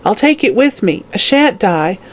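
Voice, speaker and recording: A woman voicing a dying, greedy old hag in an audiobook, shrill and harsh, wailing, pleading and cursing in terror. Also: expressive, measured pace, darkish tone, close to an everyday voice, poor audio. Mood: terrified whimpering.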